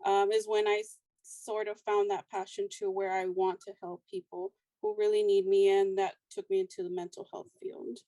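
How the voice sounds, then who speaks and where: A woman in her late twenties from South Texas, a counselor speaking as a guest in a casual interview, soft-spoken and shy. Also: mid-range voice, flat delivery, moderate pace, hardly any pauses, no room sound, poor audio.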